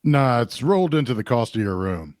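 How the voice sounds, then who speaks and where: An actor playing a burly pub keeper in an audio drama, halting and polite but unimpressed. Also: male voice, mid-range voice, big pitch swings, a little mellow, dry recording, studio quality, natural voice. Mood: awkward, nudging. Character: burly, no-nonsense pub keeper, a man, mildly impatient.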